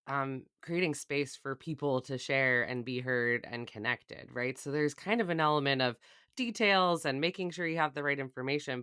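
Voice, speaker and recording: A woman's voice: rumbling low, fairly bright tone, clean tone, no echo, studio quality. A woman co-hosting a podcast, introducing a guest and asking affirming, thoughtful questions. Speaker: podcast co-host. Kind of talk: podcast interview.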